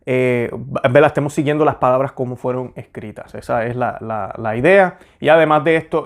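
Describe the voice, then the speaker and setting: A young man hosts a faith program, earnest and conversational, often pausing on fillers as he works through his thoughts. His voice sits at an ordinary middle pitch. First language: Spanish. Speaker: host. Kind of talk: religious commentary and prayer.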